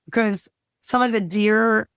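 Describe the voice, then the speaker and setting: Woman with a cold, chatting excitedly. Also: on the high side, measured pace, clear, resonant tone, dry recording, clean studio sound. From United States. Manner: excited storytelling. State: fighting a cold, losing her voice. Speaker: guest. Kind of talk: casual chat.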